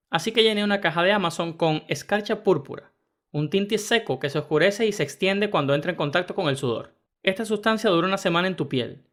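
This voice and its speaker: A man narrating first-person internet stories in a brisk, even reading style. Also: high-pitched. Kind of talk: scripted story narration.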